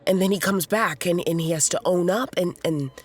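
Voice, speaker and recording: Low-voiced, halting troubled young woman. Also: husky, soft background music. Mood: hesitant. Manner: halting, stammering. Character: troubled young woman recovering from overdose, sheepish, wry. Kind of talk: naturalistic audio drama acting.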